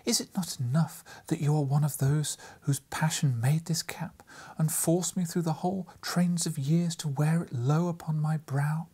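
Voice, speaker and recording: A man voicing an ancient childlike ghost in an audiobook, soft and breathy, gentle yet solemnly reproachful. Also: fairly high pitch, fairly even intonation, moderate pace, few pauses, pitched above the performer's own voice.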